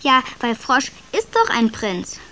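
A woman's voice: a little high, sing-song, takes it slowly, pushed and bright, a little hiss or hum, heavily acted. A female performer playing a cheeky, matter-of-fact young grandchild in a radio play. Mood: cheeky, confident.

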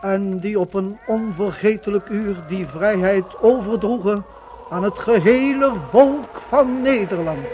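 Impassioned Dutch man, high voice, rhetorical delivery, noisy old radio drama. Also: a little slow. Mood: fervent, solemn. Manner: theatrical, rhetorical. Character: exultant, indignant male witness of liberation. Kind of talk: 1950s radio docudrama declamation. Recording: noisy vintage 1950 radio recording.

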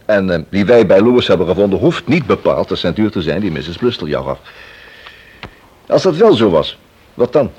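An actor playing a matter-of-fact investigator in a radio play, briefing a colleague in a businesslike, step-by-step way. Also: male voice, deep voice, very animated, moderate pace, darkish tone, breathy edge, exaggerated voice. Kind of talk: Dutch radio play acting.

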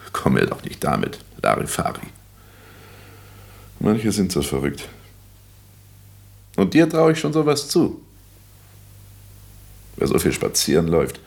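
A swooping, gravelly, cocksure young bohemian. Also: male voice, low voice, exaggerated voice. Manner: declamatory, teasing, cocksure. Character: brash, theatrical young dropout turned aspiring actor. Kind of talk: German radio play acting.

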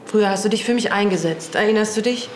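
An actress playing a blunt, reproachful sister, a surgeon who lectures bluntly in a radio play. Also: female voice, medium pitch, fast talker, a little hiss or hum, natural voice. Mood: recounting. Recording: roomy, with music.

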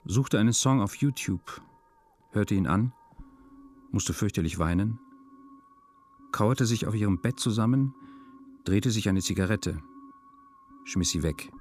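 An actor playing a detached male narrator, reading a literary radio drama in a deadpan, meticulous, measured manner. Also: on the low side, soft background music, close to an everyday voice. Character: detached male first-person narrator of a melancholy story.

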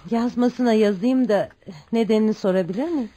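Radio play role: poor middle-aged mother played by a woman, mellow, slightly high voice, deferential. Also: a little fast, close to an everyday voice. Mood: courteous. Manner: polite, hesitant, deferential. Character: humble, proud, poor widowed mother. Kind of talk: Turkish radio drama acting.

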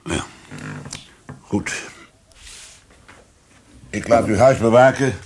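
An actor playing a weary, conscientious police commissioner in a radio drama, with a probing, plain-spoken manner. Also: male voice, medium pitch, drawn-out words, a little mellow. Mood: insistent.

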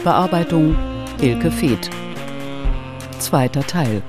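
A man's voice: fairly high pitch, animated, over music. A man formally reading out the closing credits of a radio play. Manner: slow, formal announcing. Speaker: credits announcer.

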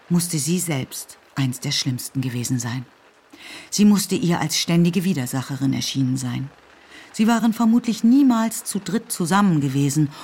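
A woman reading scripted literary narration for a radio play in a measured, composed way. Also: deep voice, a little mellow, breathy edge. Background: music.

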